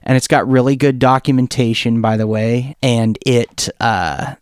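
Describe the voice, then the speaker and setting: A man giving an informal, enthusiastic software tutorial, talking off the cuff and thinking aloud as he demonstrates. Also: mid-range voice, steady tone, unhurried, clean tone, no room sound, clean studio sound. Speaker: music production tutorial presenter.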